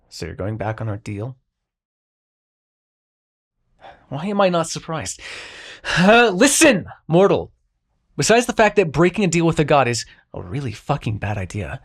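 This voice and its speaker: Casting: male actor for grumbling, sardonic dream god, intimate audio drama; very animated intonation, heavy vocal fry, foul-mouthed. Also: a little high. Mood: frustrated, concerned. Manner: sarcastic, profane, sighing, addresses listener as mortal. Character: exasperated, sarcastic god of dreams, a grumbling Sandman. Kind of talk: intimate scripted roleplay audio drama monologue.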